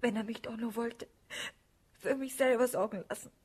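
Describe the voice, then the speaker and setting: An actress playing a naive, shy but stubborn young merchant's daughter in a radio drama, half-whispering. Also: female voice, on the high side, fairly even intonation, normal speed, a little mellow, breathy edge. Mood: sulky. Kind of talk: radio drama acting in German.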